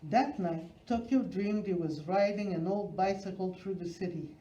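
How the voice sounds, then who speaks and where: A woman tells a children's story in a mellow, soft-edged voice at a medium pitch. She reads expressively, phrase by phrase, leaning on key words. The recording is roomy and slightly dull. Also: moderate pace. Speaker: storyteller reading a children's book. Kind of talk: expressive read-aloud of a children's picture book.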